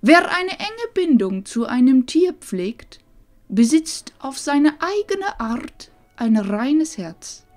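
A woman playing a loving, wise old grandmother in a fantasy audio drama, speaking solemnly and tenderly with breathy phrase endings. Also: high-pitched, very animated, takes it slowly, darkish tone, soft background music, exaggerated voice. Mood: solemn, earnest.

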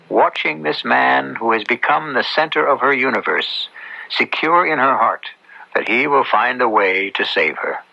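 A man hosting and narrating an old radio drama in a solemn, theatrical style with deliberate phrasing. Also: medium pitch, gravelly, sharp tone, slight background noise. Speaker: host and narrator. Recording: dull old radio broadcast with music.

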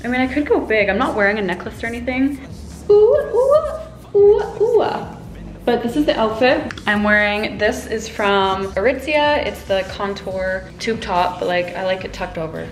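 A woman's voice: medium pitch, sing-song, moderate pace, reverberant, slight background noise. A young woman in her twenties chatting casually to a handheld camera in her apartment, bubbly and giggly. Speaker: vlogger. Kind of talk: casual vlog chat to camera. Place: apartment and friend's kitchen, indoors. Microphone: handheld camera at arm's length. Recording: loud music and chatter behind.

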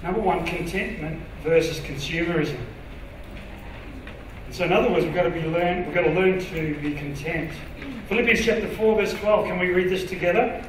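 A man's voice: sits high, moderate pace. A middle-aged man preaching informally as a pastor, joking and putting questions to his listeners. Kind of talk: conversational sermon to a congregation. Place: church auditorium stage. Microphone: handheld microphone through PA, far camera. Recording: echoey hall PA, distant, faint noise.

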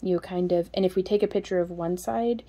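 A woman's voice: fairly low pitch, steady tone, fast talker, warm tone. A woman, chatting casually as a craft shop owner while showing her products at her home workspace, rambling and laughing often. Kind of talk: casual product show-and-tell.

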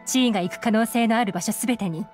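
A woman as a cool, commanding spymaster, fairly high. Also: quick pace, a little mellow, lightly acted. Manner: composed, authoritative. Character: cool, commanding young woman intelligence chief, composed and shrewd. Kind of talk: Japanese anime game voice acting. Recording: game music behind.

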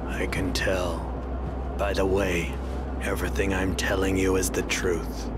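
Creepy, slow, low-voiced taxi driver. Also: male voice. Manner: deliberate, stilted politeness, pausing mid-sentence. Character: creepy, overly polite male taxi driver with veiled menace. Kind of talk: video game voice acting. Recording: loud music over the voice.

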